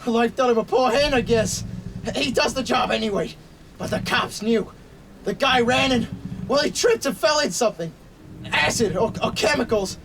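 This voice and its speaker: Nervous high-pitched crook played by a man. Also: gravelly, noisy background. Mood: uneasy confession. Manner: nervous, halting. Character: frightened small-time male mob enforcer, confessing. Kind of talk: radio drama acting.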